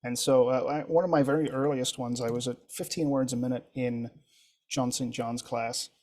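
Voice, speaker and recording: A man whose first language is French, leading an instructor training session in a relaxed, encouraging way over a video call. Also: mid-range voice, moderate pace. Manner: relaxed, encouraging, thinks aloud with ums. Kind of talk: casual group video-call discussion.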